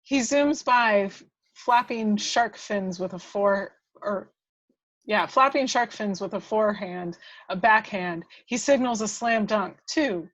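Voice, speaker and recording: A woman's voice: mid-range voice, slow pace, fairly bright tone, no echo. A woman reading scripted stage directions as the narrator of an amateur table read.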